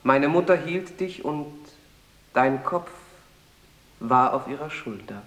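An actor playing a solemn man recalling childhood scenes in formal, measured literary language in a radio play. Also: mid-range voice, lively intonation, fairly bright tone. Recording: echoey, reverberant room.

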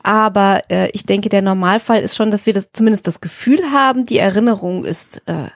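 A woman co-hosting a podcast, explaining in a measured way with careful qualifications. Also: medium pitch, no echo, clean studio sound. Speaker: podcast co-host.

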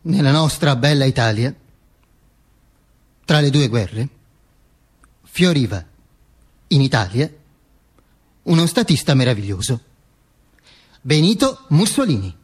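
A man's voice: mid-range voice, very animated, husky, full character voice. An actor playing a vain, grandiose dead dictator who narrates his own afterlife in a pompous theatrical monologue with asides to the audience. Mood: mock solemn, boastful. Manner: pompous, self-aggrandizing, halting asides to the audience.

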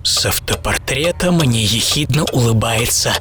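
A man reading a dramatised first-person story in a theatrical, measured manner with pauses. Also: medium pitch, animated, noisy background. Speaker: author and narrator of a radio programme. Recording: loud music under the voice. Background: music.

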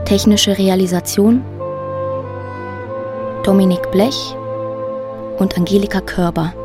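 A woman announcer reading scripted radio drama credits in a measured, plain way. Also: medium pitch, fairly even intonation. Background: sad violin music.

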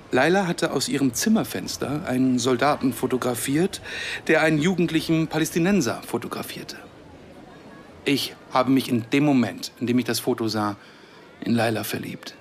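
An actor playing an earnest, idealistic and sardonic Palestinian academic and activist in a radio drama. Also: male voice, medium pitch, a little hiss or hum, lightly acted.

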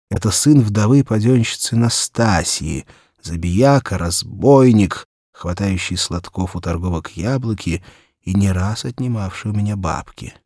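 A man narrating a literary story for children as an audiobook, with deliberate, dramatic phrasing and varied emphasis. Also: lowish voice, dark tone, no echo, clean studio sound. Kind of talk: expressive literary storytelling for children, scripted first-person narration.

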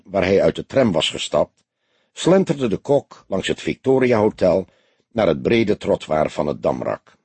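Male narrator, low-pitched, very raspy, animated storytelling, detective audiobook. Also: no room sound. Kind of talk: expressive scripted narration of a detective novel.

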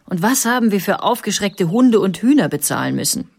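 A woman playing an indignant motoring pioneer recalling her past in a dramatised account, with a creaky voice. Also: mid-range voice, sing-song, brisk, darkish tone, exaggerated voice. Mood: indignant recollection. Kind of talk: dramatised first-person recollection.

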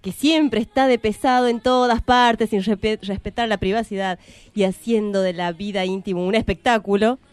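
A woman's voice: mid-range voice, steady tone, normal speed, dry recording, soft background music. A woman with an Argentine accent narrating a satirical story in an ironic storytelling manner, in scripted narration.

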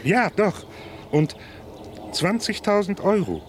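An actor playing a wry male junior detective in a radio crime drama, smooth and mocking. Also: high voice, big pitch swings, slow, slight background noise, exaggerated voice. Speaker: police detective.

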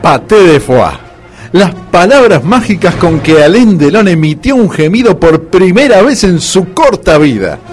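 Animated Argentine male radio narrator over music. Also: sits high, a little slow. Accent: Argentine Rioplatense. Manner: mock-dramatic. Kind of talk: comic scripted radio narration with closing credits.

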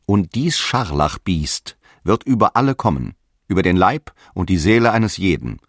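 A stern prophet of the early church, very low in pitch and captured dry and close. He proclaims doctrine in grave, archaic, measured phrases, with fervent authority, as in a radio play. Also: big pitch swings, dry recording. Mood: apocalyptic, grave. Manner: grave, commanding, measured preaching. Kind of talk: solemn archaic radio drama monologue.

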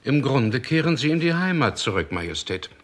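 A man's voice: medium pitch, sing-song, moderate pace, lightly acted. A man playing a detached, pompously courteous court doctor in a 1960s German radio play. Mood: detached explaining.

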